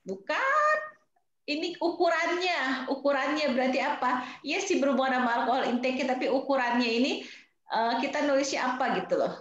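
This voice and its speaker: A woman from Indonesia, around her thirties, gives a chatty lecture to nursing students, slipping into English terms and quizzing the class. Her voice sits a bit high. The recording is dull and lo-fi, with heavy room echo. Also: expressive, moderate pace, a bit bright. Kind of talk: online class lecture mixing two languages. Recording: very echoey, dull lo-fi sound.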